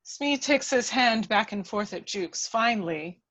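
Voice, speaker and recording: A woman's voice: a little high, animated, measured pace, fairly bright tone. A woman reading scripted action descriptions as the narrator of a table read. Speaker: stage-direction reader.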